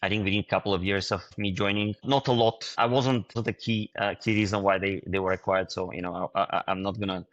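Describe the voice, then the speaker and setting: A man from Bulgaria, a startup founder answering interview questions in a halting way, with frequent restarts and fillers. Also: deep voice, steady tone, fairly bright tone, dry recording, highs cut off.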